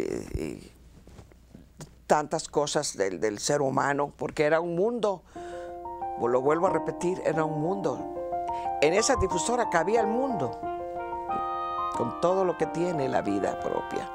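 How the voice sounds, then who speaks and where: A man's voice: on the high side, very animated, unhurried, long pauses, over music. A man reflecting in an interview, emphatic and fond of repetition.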